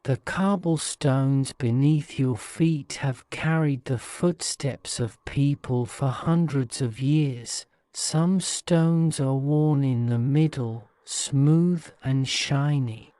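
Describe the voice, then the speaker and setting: A man narrating a guided-imagery sleep story in a soothing, measured manner. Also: medium pitch, mellow, clean tone, no room sound.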